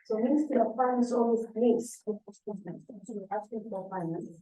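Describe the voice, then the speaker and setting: A woman's voice: on the high side, brisk, dark tone, a little hiss or hum. A woman on a conference panel answering in a plain, matter-of-fact way. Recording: echoey room, distant dull mic.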